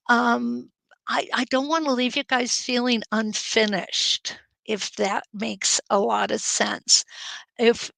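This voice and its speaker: Woman, Upper Peninsula Michigan accent, slightly high voice, unhurried, chatty quilting host, laughs often, computer mic. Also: creaky, fairly bright tone, no room sound. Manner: chatty, laughs often, talks straight to viewers. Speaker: quilting teacher and show host. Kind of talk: casual live-stream chat with viewers.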